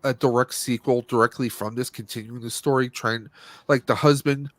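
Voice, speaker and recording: A man's voice: mid-range voice, fairly even intonation, moderate pace, fairly bright tone, no room sound. A man hosting a casual movie review podcast, speaking in a blunt, opinionated and rambling way. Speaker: podcast host.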